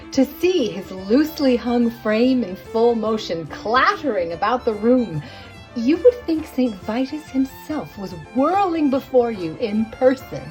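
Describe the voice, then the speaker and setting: A woman narrating a storybook tale for a puppet show in a theatrical, expressive way, savoring the words. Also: fairly high pitch, rough recording.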